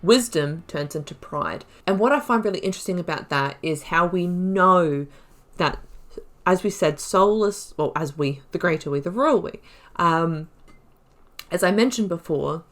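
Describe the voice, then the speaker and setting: A woman hosting a video game playthrough, moving between measured lore reading and emphatic, musing casual commentary. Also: fairly low pitch, animated, fairly bright tone. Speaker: gamer host of a playthrough series.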